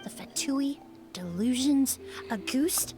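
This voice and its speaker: An actress playing a composed, stern female investigator in a video game, formal, measured and probing. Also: high-pitched, animated, music in the background. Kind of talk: scripted video game dialogue.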